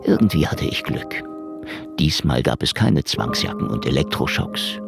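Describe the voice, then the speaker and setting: An actor playing a cynical Soviet murder investigator in a noir radio drama, gravelly and deadpan. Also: male voice, a bass voice, normal speed, music in the background, exaggerated voice. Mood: wry, brooding. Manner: deadpan, sardonic. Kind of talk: radio noir drama with first-person narration.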